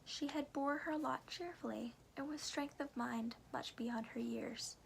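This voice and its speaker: A woman softly and carefully whispering in a hushed, breathy ASMR style, as a storyteller reading to the listener. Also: sits high, measured pace, a little hiss or hum, poor audio. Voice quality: breathy whisper with some creak. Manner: soft, careful, hushed delivery.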